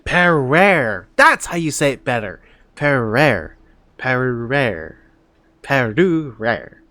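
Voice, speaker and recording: A man's voice: mid-range voice, sing-song, very slow, pushed and bright, no echo. A man reacting casually and emotionally to a game as a streamer, with exclamations and swearing.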